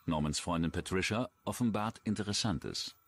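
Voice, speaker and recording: A man's voice: low voice, moderate pace, fairly bright tone. A man with a standard German accent reading scripted true-crime documentary narration.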